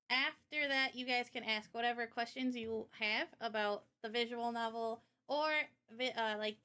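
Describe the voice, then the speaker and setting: A woman's voice: on the high side, sharp tone. A woman guesting on a casual livestream as a game developer, with a bubbly, giggly, teasing way of talking. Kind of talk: casual livestream chat and introduction.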